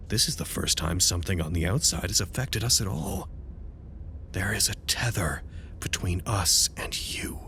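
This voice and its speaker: An actor playing a dutiful researcher at an underground anomaly site in an audio drama, speaking in a formal, wordy way with dry wit. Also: male voice, fairly low pitch, fairly bright tone, slight background noise. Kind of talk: audio drama, recorded log monologue.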